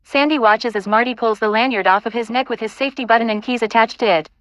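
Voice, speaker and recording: A woman's voice: fairly high pitch, fast talker, a bit bright, dry recording, poor audio. A woman reading scripted narration and stage directions for an audio play.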